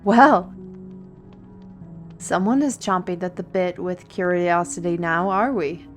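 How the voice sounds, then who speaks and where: A woman's voice: fairly low pitch, slow, faint music behind. A woman playing a wry, weary fantasy artist-steward, talking directly to the listener in a scripted audio roleplay. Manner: wry, sighing, conversational, addressing the listener.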